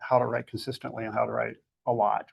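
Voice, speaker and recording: A man with a gravelly, husky voice giving conversational advice as a guest author, in a plain-spoken way with short, emphatic summings-up. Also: mid-range voice, little pitch movement, quick pace, lo-fi sound.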